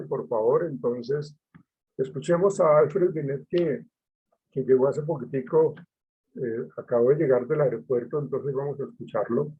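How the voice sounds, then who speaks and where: A man's voice: fairly high pitch, husky, phone-quality sound. A man, a teacher leading an online class discussion, hesitant with many fillers and repetitions.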